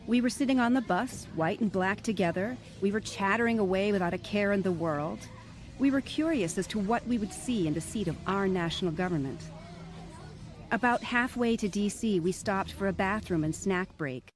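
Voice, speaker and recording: A woman narrating a scripted first-person memoir in a measured, reflective way. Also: mid-range voice.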